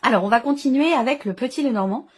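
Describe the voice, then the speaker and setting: A woman's voice: sits high, quick pace, husky. A woman giving an improvised, intuitive tarot reading as host, feeling her way through phrases and restating ideas in lists. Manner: hesitant, searching phrasing with repeated enumerations. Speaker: tarot card reader and channel host.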